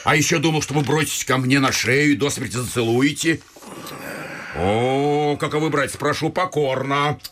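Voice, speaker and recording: A man's voice: medium pitch, normal speed, fairly bright tone, close to an everyday voice. A man playing a talkative, touchy old retired officer turned provincial clerk in a Russian radio vaudeville, theatrical and effusive, with folksy old-fashioned turns of speech. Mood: proud, excited. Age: older. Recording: stage radio play with faint music.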